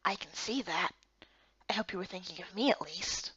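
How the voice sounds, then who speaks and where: Woman voicing a hushed, teasing young male adventurer. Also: lowish voice, normal speed, muffled recording, pitched below the performer's own voice, natural voice. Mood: teasing, reproachful. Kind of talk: visual novel game character dub.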